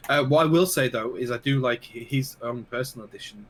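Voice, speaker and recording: A man co-hosting a casual keyboard podcast, losing his voice and talking in halting bits full of fillers. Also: mid-range voice, a little hiss or hum. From United Kingdom. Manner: halting, many fillers and restarts. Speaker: podcast co-host. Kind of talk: casual chat about keyboards.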